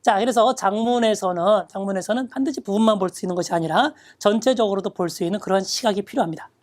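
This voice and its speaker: A woman lecturing on exam reading questions, reading Japanese phrases slowly and then explaining them step by step. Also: medium pitch, a little mellow. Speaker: Japanese reading test instructor.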